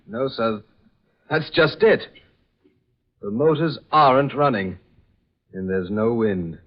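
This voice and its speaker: An actor playing an earnest, deferential young deckhand in a 1940s radio drama, giving grave, respectful reports. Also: male voice, medium pitch, takes it slowly. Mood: shaken. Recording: muffled old radio, music behind.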